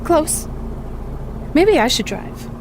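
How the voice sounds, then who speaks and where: Feisty young woman wrestler for a game: higher voice, wry, cocky, clear noise behind her. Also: very animated, slow pace, exaggerated voice. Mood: wry banter. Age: young adult. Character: feisty, ambitious young female wrestler, sarcastic and bold. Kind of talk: video game cutscene acting.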